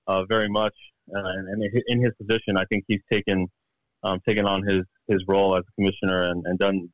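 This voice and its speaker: A man's voice: deep voice, a bit bright, no room sound, rough recording. A man in his thirties, a professional golfer giving a casual interview, who halts and repeats words as he searches for them. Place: at home.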